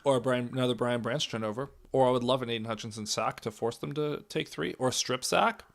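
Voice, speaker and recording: A hoarse young man with a raspy, cracking voice, giving casual live play-by-play commentary and reacting to the game, swinging from loud calls to low muttering. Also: fast.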